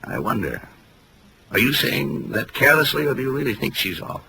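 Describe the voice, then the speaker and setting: An actor playing a pompous, inquisitive mystery writer, arch and erudite, in a 1940s radio drama style. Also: male voice, medium pitch, big pitch swings, fairly quick, creaky, a loud crowd. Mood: arch, musing. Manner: arch, erudite, musing aloud. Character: pompous, theatrical male mystery author, inquisitive and condescending. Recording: crackly vintage radio drama recording.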